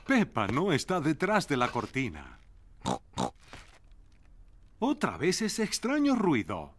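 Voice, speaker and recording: A man's voice: on the high side, wildly swooping pitch, takes it slowly. A man reading scripted narration for a children's cartoon. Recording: music under the voice.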